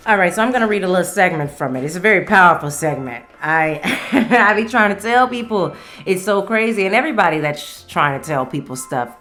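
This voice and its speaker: A woman's voice: fairly low pitch, lively intonation, a little fast, a bit bright, faint music behind. An American woman hosting a solo podcast, speaking in a blunt, emphatic, slangy way. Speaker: podcast host. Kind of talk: casual solo podcast monologue.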